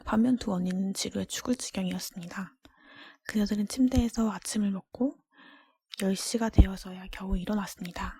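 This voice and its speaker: A woman reading a fairy tale aloud for ASMR in a breathy, unvoiced whisper, gentle and measured, close to the microphone. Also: mid-range voice, fairly even intonation, no room sound. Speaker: storybook reader. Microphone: close microphone, dry.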